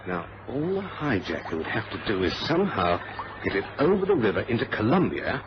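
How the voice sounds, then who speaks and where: Suspicious determined airline man, old radio adventure, really lively pitch, emphatic, thinks out loud, muffled recording. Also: a little fast, background noise.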